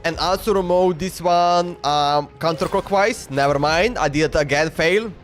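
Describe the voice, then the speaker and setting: High-voiced man casually streaming a game. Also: normal speed. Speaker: livestreamer playing a video game. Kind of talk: casual gaming commentary, thinking aloud. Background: game music.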